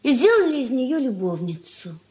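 High-pitched, coolly composed young woman. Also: very animated, moderate pace, a little mellow. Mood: cool, impatient. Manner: composed, curt, formal. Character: cool, proud, self-possessed young fiancée. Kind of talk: Soviet radio drama acting.

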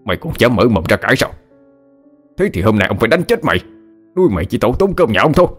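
A man voicing a domineering, hot-tempered older husband, gruff and scolding, in an audio horror story. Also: low-pitched, a little fast, a little mellow, soft background music. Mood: furious, threatening. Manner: gruff, scolding, barking questions.

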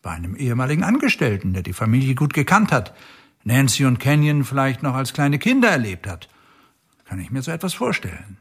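A man's voice: medium pitch, big pitch swings, fairly quick, breathy edge. An actor playing a dogged, worn-out male sheriff going over a murder case in a radio drama. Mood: earnest.

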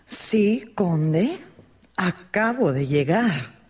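An actress playing a seductive, scheming and vengeful noblewoman in a theatrical, drawn-out radio drama style. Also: lowish voice, very animated, background noise, heavily acted. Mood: feigned innocence. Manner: theatrical, drawn-out, mocking and pleading.

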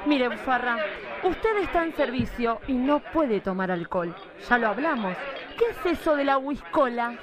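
A woman's voice: on the high side, lively intonation, normal speed, lightly acted. A woman playing a stern, exasperated judge with an Argentine accent, scolding and commanding in a comic radio drama. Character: stern, exasperated female judge giving orders. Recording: live radio play with crowd noise.

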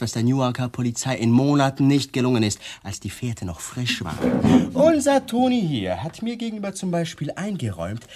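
An actor playing a menacing young police lieutenant in a radio drama, mockingly polite and domineering. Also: male voice, medium pitch, darkish tone, husky. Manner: taunting, theatrically polite, domineering. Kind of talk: 1980s German radio drama acting.

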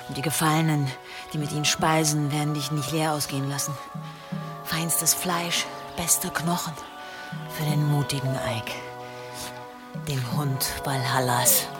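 A woman acts a grieving mourner in a radio drama. Her low voice is solemn, tender and ceremonial, carried over prominent music. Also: fairly even intonation, normal speed, lightly acted. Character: solemn, tender female mourner of a fallen dog. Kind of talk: German radio play acting.

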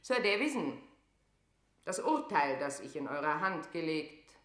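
A scheming, wrathful queen of England in classical radio drama. Her low voice has a bright, forceful edge and a regal, declamatory delivery. The room adds noticeable echo around her. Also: big pitch swings, a little slow, full character voice. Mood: regal, commanding. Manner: declamatory, regal, swinging from whisper to outburst. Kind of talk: classical German stage verse in radio drama.

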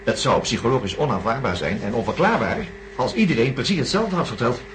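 A man's voice: medium pitch, animated, fairly quick, close to an everyday voice. An actor playing a doctor in a radio drama, patiently laying out his theory in an earnest, explanatory way. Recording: noisy radio play recording.

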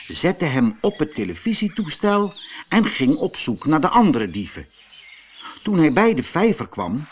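A man narrating a children's fairy tale audiobook in an expressive storytelling manner. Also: a little high, a little hiss or hum.

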